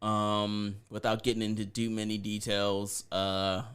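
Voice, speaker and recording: A man's voice: lowish voice, completely flat delivery, measured pace, a bit bright, clean tone. A man co-hosting a podcast in casual banter, teasing and sarcastic. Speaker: podcast co-host.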